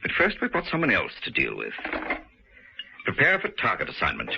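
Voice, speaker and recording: An actor playing a scheming male industrialist confined to a wheelchair, speaking in a smooth, clipped, condescending manner in a radio drama. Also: fairly low pitch, wildly swooping pitch, normal speed, background noise, full character voice. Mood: cold, commanding. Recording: dull lo-fi radio broadcast.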